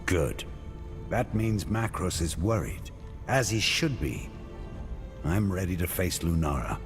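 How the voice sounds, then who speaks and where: Resolute, low-voiced warrior hero. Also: male voice, big pitch swings, slow pace, full character voice. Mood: grim confidence. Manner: terse, resolute. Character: determined male warrior hero, grim and resolute. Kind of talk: dramatic video game cutscene acting. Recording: long reverberant hall, music underneath. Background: music.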